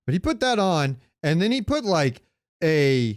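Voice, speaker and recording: A man with a smooth, slightly high voice chats as a podcast co-host. He tells joking anecdotes in loose, profane slang. The sound is close and dry, with no room echo. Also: sing-song, a little slow. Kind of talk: casual chat, comic storytelling.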